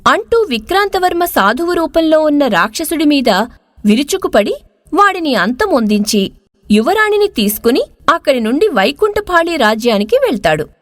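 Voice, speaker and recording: An expressive woman narrates a scripted moral tale. Her voice is high and very lively in intonation, with a dry, close sound. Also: moderate pace, no room sound. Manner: expressive storytelling. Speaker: story narrator.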